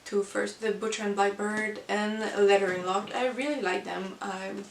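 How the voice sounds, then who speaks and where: A woman who is not a native English speaker, chatting casually about books as a vlogger in a halting, hesitant way. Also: medium pitch, steady tone, gravelly. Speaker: book vlogger. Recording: roomy, distant mic with hiss.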